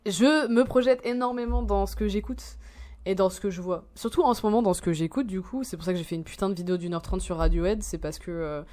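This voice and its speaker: Girl in her 20s rambling on stream, lots of slang and swearing, normal pitch. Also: fast talker, dark tone. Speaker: streamer reacting to a video. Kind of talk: casual reaction commentary.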